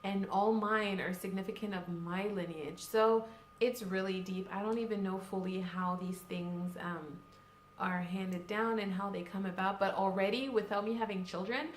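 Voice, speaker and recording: A woman's voice: mid-range voice, slight background noise. Young woman from Cameroon, casual rambling vlogger, echoey room. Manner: chatty, lots of fillers like "like" and "you know". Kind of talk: casual vlog chat.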